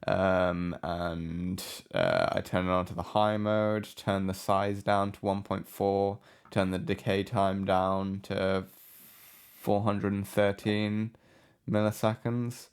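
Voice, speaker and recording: A man giving a casual software tutorial in a hesitant, offhand, unscripted way. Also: low voice, fairly even intonation, slow pace, smooth. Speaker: music production tutorial host. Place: indoor room with a window.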